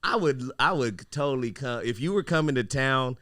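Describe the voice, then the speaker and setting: A man co-hosting a casual podcast, joking and laughing with his guests. His voice is bright and swings widely in pitch, recorded close and dry. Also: lively intonation, normal speed, dry recording. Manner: loose, joking, laughs along. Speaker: podcast co-host.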